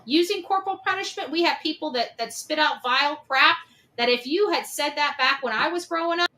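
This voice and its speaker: A woman with a high, forceful, bright voice speaks off the cuff as an opinionated commentator. Her delivery is emphatic and accusatory, and she repeats phrases to drive her points home. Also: brisk. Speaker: online commentator on a case. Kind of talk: opinionated unscripted monologue.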